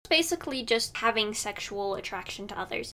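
A woman's voice: on the high side, expressive, fairly quick, sharp tone. A young woman with a childlike voice, chatting as an interview guest and rambling with lots of "like". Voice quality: youthful, childlike voice. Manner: rambling, heavy use of "like". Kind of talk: casual conversation.

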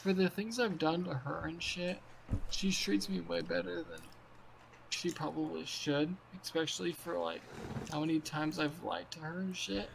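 A young man in his twenties talks crudely and loosely over a video call, piling on fillers and swearing. His voice sits high for a man and carries a gravelly fry. A faint hiss lies under the call. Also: fairly even intonation, moderate pace. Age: 20s. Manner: rambling, profane, filler-heavy. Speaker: podcast co-host. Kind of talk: crude casual chat.

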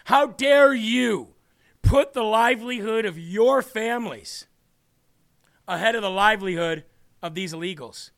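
A man's voice: high-pitched, measured pace, gravelly, a bit bright. A male show host giving sarcastic political commentary in a mocking tone.

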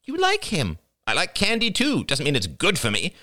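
A man's voice: on the high side, huge pitch swings, normal speed, sharp tone, pitched above the performer's own voice, heavily acted. A man voicing a blunt, scolding older woman, a veteran of her industry, who snaps at her niece. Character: brusque older woman, veteran media aunt.

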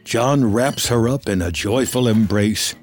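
A man reads scripted film narration, giving present-tense stage directions in a dramatic, deliberate delivery. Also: on the low side, faint music behind. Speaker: screenplay narrator.

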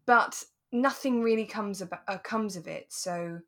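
A woman co-hosting a relaxed podcast, recapping a drama in casual chat and retelling it in an animated way, quoting the characters. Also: medium pitch, unhurried. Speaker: podcast co-host.

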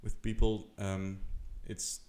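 A man from the Netherlands, speaking English in casual chat, halting, with many filler sounds. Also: on the low side, monotone, mellow. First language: Dutch. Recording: echoey room.

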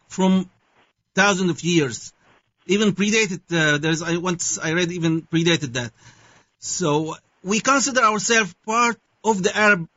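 High-voiced Syrian man, halting speech. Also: pushed and bright, no echo. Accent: Syrian Arabic. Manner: halting, searching for words with many fillers. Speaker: guest. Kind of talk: interview answers. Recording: dull lo-fi sound.

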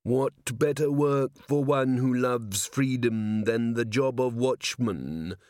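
A man's voice: medium pitch, darkish tone, no echo, studio quality, natural voice. A man voicing a huge male golem in an audiobook, solemn and literal-minded, speaking in formal, ponderous phrases. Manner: formal, deliberate, ponderous wording. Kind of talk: audiobook character voice.

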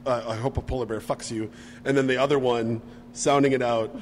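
A man's voice: mid-range voice, fairly even intonation, fairly quick, slight background noise. Rambling man with fillers, reverberant room. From Minneapolis area. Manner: rambling, laughs often, many fillers and restarts. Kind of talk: casual chat.

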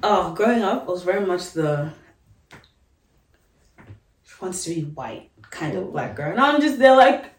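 A woman's voice: fairly low pitch, big pitch swings, unhurried, pauses often, distant mic. A young woman guest on a podcast, chatting casually and filling her talk with likes. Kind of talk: casual chat. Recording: roomy echo, off mic.

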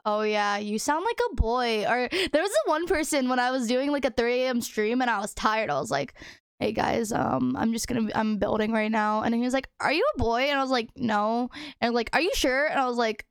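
A woman's voice: fairly high pitch, brisk, smooth, no echo, clean studio sound. A young woman hosting a casual chat, rambling with lots of fillers and laughing often. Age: young adult. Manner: giggly, rambling with many fillers. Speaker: host.

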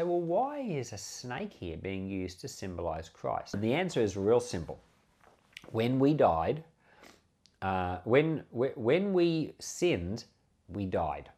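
A man with an Australian accent, a pastor giving an informal sermon, explaining scripture conversationally and posing rhetorical questions. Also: medium pitch. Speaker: pastor and Bible podcast host.

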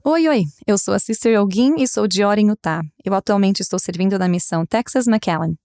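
A woman of middle pitch introduces herself as a missionary guest and speaks openly about her experiences. The recording is dry and close. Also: fairly quick, a little mellow, no echo. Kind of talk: personal sharing.

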